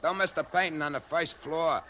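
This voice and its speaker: Sharp-voiced brash American cabbie crook. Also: male voice, a little high, moderate pace. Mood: chatty, salesy. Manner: brash, colloquial. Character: chatty pedicab driver turned greedy gunman. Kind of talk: 1950s radio drama acting. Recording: 1950s radio drama, faint background noise.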